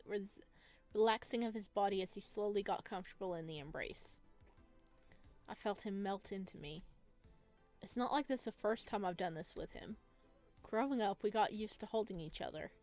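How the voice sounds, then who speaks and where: A woman's voice: mid-range voice, darkish tone. A woman hosting a visual novel playthrough, reading the story and commenting casually. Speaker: game playthrough host. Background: game music.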